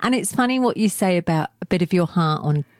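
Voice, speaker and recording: A woman's voice: fairly low pitch, lively intonation, fast talker, a little mellow, no room sound, clean studio sound. A middle-aged English woman hosting a community radio interview, chatty and enthusiastic, often jumping in. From England. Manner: chatty, enthusiastic, talks over guest. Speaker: radio host.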